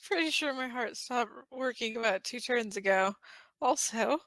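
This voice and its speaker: Man playing an excitable, high-pitched dramatic young guy. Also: normal speed, heavily acted. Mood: shaken. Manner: melodramatic, exclamatory. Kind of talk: amateur fan-fiction audio drama.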